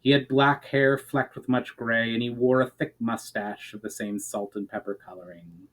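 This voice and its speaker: A man's voice: medium pitch, fairly even intonation, moderate pace. A man giving an earnest dramatic reading of a fantasy story, phrase by phrase, letting his line endings fall. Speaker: author reading own story chapter aloud.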